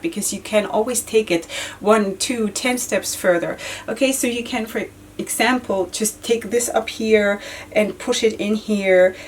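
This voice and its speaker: Woman, 30s, casual tutorial host, a little hoarse; a bit off-mic with faint hiss. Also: medium pitch, far from the mic. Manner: chatty, repeats words, frequent fillers. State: losing her voice, occasional cough. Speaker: head-wrap tutorial host. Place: indoor studio with floral backdrop.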